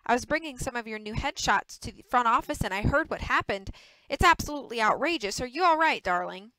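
A woman's voice: on the high side, animated, brisk, bright, forceful tone, no echo, rough recording. A woman voicing a fussy, protective male talent agent with an earnest, gushing manner in an audiobook. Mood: indignant concern.

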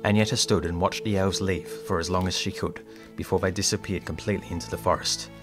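An actor playing an adventurer's companion who narrates a fantasy audio drama in scripted storytelling style. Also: male voice, low voice, fairly even intonation, fast, music in the background, natural voice. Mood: measured.

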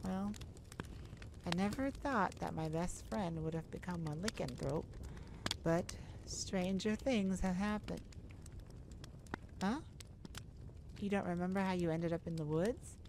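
A woman's voice: lowish voice, measured pace, long pauses, noisy background. A woman playing a nervous, caring mage who speaks hesitantly and tenderly in an ASMR audio roleplay. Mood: concerned, gently teasing. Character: nervous, caring young mage rescuing a friend.

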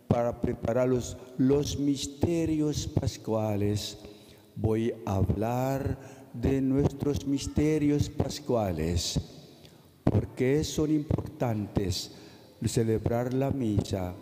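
A Filipino-accented priest preaches at a very slow, careful pace. His voice is dark and mellow, and it rings with the long reverb of a large church. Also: medium pitch. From Philippines. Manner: slow, deliberate, careful delivery with pauses. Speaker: priest giving a Lenten mission talk. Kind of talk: sermon. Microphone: pulpit microphone.

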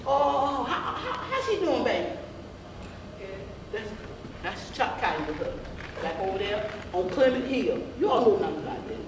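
Woman acting a high-pitched, rambling granny. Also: big pitch swings, normal speed, crowd noise. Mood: rambling, tired. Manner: folksy, rambling, calls listeners baby. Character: old Southern former field-hand grandmother, folksy, scolding, rambling. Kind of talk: amateur gospel stage play monologue, storytelling. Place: church sanctuary stage, mid-sized hall. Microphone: camera some metres away. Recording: roomy church hall, distant camera mic, audience noise.

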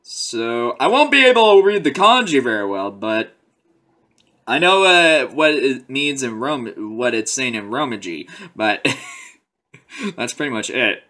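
A man's voice: mid-range voice, lively intonation, measured pace, bright, forceful tone. A young man talking casually in a webcam update from a small room, a bit lightheaded and rambling with fillers and giggles. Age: young adult. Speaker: vlogger. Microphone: webcam at close range, headset round neck.

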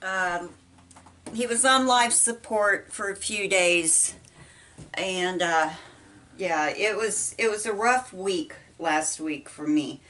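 Slow-talking middle-aged woman, off-mic. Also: medium pitch, fairly bright tone. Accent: Midwestern American. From United States. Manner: halting, with many ums and restarts. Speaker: vlogger. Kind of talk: casual chat while folding laundry. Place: small indoor laundry room. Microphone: camera set apart from her. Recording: voice a bit far from mic.

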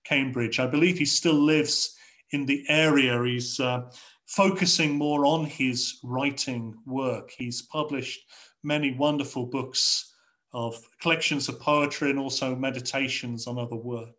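A middle-aged man with an English accent preaching a sermon from a home study over a video call, in a measured, rhetorical way with repeated phrases. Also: mid-range voice, steady tone, a bit bright. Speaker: pastor. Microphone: video call webcam.

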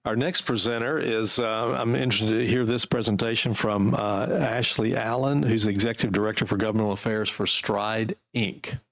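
A man with a lowish voice chairs a state legislative committee, talking in a folksy, measured, slightly repetitive way. He speaks into a desk microphone in a large committee room. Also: steady tone, gravelly, poor audio.

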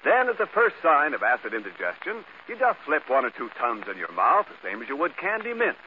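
A male announcer delivers scripted 1940s radio copy with lively swings in a slightly high voice. The old broadcast sounds muffled. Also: fairly quick, a little hiss or hum.

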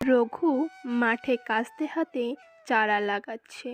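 A woman reading scene directions as scripted narration for an animated story. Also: fairly high pitch, slow pace. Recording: music behind the voice. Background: music.

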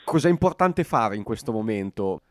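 A man's voice: fairly low pitch, sing-song, moderate pace, no echo, studio quality. A man hosting a sports interview on the radio, introducing and questioning his guest.

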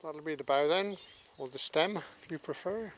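A man talks his way along a walk, chatty and admiring, slipping in wry little asides. His voice sits a touch higher than usual. Faint outdoor noise and birdsong murmur behind him. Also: moderate pace. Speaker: walking vlogger narrating. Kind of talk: casual walking commentary. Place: outdoors by a rural estuary and canal.

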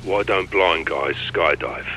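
A stoic special forces lieutenant in a mask for a military video game. His voice is extremely deep, and he speaks in clipped, darkly deadpan lines. Noisy ambience runs behind him. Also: slow pace, full character voice. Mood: deadpan joking. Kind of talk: naturalistic video game acting.

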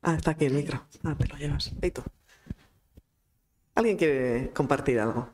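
A woman's voice: sits low, normal speed, a little mellow, slight background noise. A woman who works as a grief therapist, speaking casually into a table mic in a conference room to invite questions from the audience. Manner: informal, chatty, laughs easily. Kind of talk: casual talk moderating discussion. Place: conference room of a cultural centre. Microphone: table microphone.

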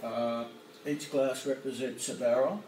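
A middle-aged man, a winemaker, explaining casually to camera in a winery barrel room, relaxed and full of ums and uhs. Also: medium pitch, measured pace, slight background noise. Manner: relaxed, filler-heavy, hesitant. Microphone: camera mic from a few metres off. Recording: echoey cellar, distant camera mic.

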